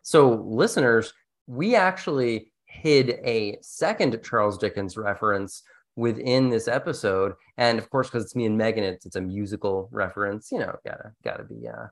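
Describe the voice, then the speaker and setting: A man's voice: on the low side, normal speed, creaky. A man hosting an educational podcast in a casual, conversational way with a jokey streak. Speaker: podcast host.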